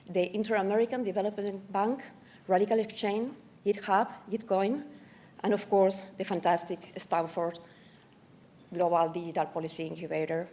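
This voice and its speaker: Mellow-voiced woman hosting an event. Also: mid-range voice. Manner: formal, with small slips. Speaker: event host. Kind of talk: formal event introduction.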